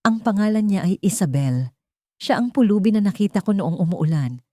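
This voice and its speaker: An earnest, steadfast young man in an audio drama, read by a woman. He is devoted, with a mellow tone and a dry, close sound. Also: mid-range voice, expressive, normal speed, no room sound, voice pushed up, natural voice. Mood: nervous, resolute.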